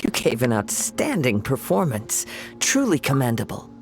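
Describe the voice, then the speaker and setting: An actor playing a calm young male wandering samurai in a video game, speaking in formal, measured, poetic phrases. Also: mid-range voice. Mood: composed, courteous. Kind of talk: scripted video game voice acting. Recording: music under the voice.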